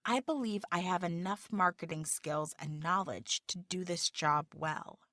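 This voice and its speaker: A woman reading the applicant's part in a scripted practice job interview, with careful, deliberate delivery. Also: lowish voice, fairly bright tone, no echo, clean studio sound.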